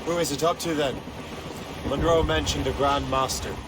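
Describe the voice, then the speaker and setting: An actor playing a plain-spoken young ex-assassin sea captain, wry and loyal, in a video game cutscene. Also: male voice, a little high, steady tone, normal speed, close to an everyday voice. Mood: wry, curious. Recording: game music and outdoor noise behind.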